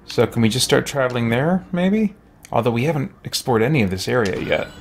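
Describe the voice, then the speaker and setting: A man's voice: mid-range voice, sing-song, a little fast. A middle-aged man giving casual gameplay commentary, musing and thinking aloud as he streams. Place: small room with shelves. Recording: faint background noise.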